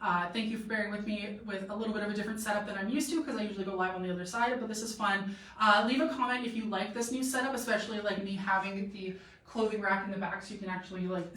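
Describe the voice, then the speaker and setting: A woman's voice: mid-range voice, a bit bright. A young woman from Canada hosting a casual live-stream try-on, talking in a chatty, rambling way with many fillers and a slightly sore throat. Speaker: boutique model and live-stream host. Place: boutique room with a clothing rack. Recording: echoey room, voice from a distance.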